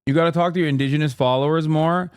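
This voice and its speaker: A man's voice: a little high, steady tone, fast, smooth, no echo, clean studio sound. A man from the United States giving casual, rambling live-stream commentary, sweary and exasperated. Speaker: streamer reacting to a video.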